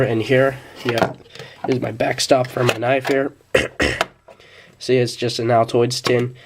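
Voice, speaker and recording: A young man, a bit sick, casually reviewing a pocket knife, with many fillers and restarts. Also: mid-range voice.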